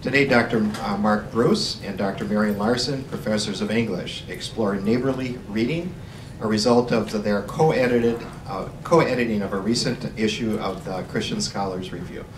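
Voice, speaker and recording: A man hosting a library event, reading announcements and introducing the speakers with slight stumbles. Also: moderate pace. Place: room in a library. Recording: roomy, distant and noisy, with rumble.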